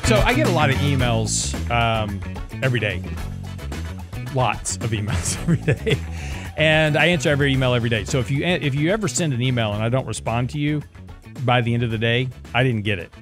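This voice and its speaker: A man's voice: mid-range voice, animated, fairly quick, music in the background. Chatty man in his sixties. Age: 60s. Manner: chatty, jokey, repeats himself. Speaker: show host, investment strategist. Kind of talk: casual financial advice talk.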